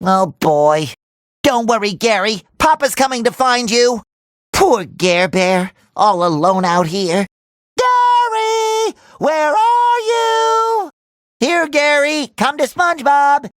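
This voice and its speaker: A man voicing a cheerful, naive, excitable young male cartoon sea sponge in a video game, with a nasal, squeaky, childlike and eager delivery. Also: a little slow, no room sound, studio quality. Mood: worried, tender.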